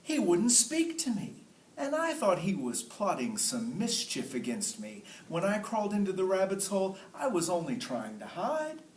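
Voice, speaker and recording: Man as a high, loud, protesting python. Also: wildly swooping pitch, normal speed. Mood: defensive. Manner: protesting, indignant. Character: flustered python protesting innocence to king. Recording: roomy, distant mic, faint noise.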